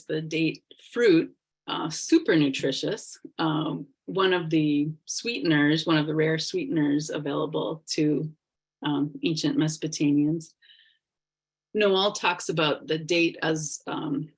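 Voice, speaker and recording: Low-voiced woman presenting on a video call. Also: slightly rough. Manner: thoughtful, hesitant with many fillers. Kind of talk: online class presentation.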